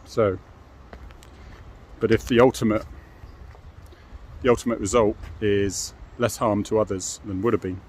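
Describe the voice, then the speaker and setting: A man's voice: lowish voice, fairly even intonation, a little slow, long pauses, a little hiss or hum. A middle-aged British man giving an informal, explanatory monologue as a former police officer, frank and matter-of-fact, often adding tag questions.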